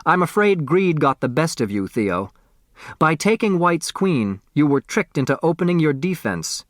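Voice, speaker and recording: A man voicing a shrewd, composed storybook judge who probes and gently chides. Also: fairly high pitch, very animated, normal speed, dry recording, clean studio sound, pitched above the performer's own voice. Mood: gently chiding.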